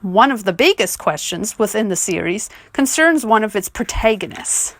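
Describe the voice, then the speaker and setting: A woman's voice: medium pitch, expressive, moderate pace, slight background noise. A woman delivering scripted video essay commentary in an articulate, questioning manner.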